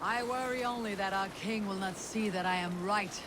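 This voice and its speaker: An actor playing a proud, stubborn Viking warrior in a video game, terse and defiant with archaic phrasing. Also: male voice, high voice, normal speed, background noise, close to an everyday voice. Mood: defiant, dry. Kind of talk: video game cutscene acting.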